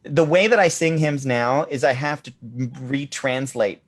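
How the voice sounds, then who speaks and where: Podcast guy, deep voice, mid pitch, keeps going um and like, loose casual chat. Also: unhurried. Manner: hesitant, full of ums and likes. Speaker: podcast co-host.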